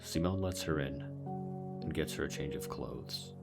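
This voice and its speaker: A man's voice: rumbling low, moderate pace, vocal fry. A man giving a scripted story recap as the narrator of a video essay. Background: loud guitar music.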